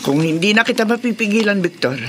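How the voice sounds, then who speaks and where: An actor playing a worried parent, anxious and pleading, in a horror radio drama. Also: male voice, sits high, lively intonation, fast talker, a little hiss or hum. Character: worried parent of a grown monster hunter.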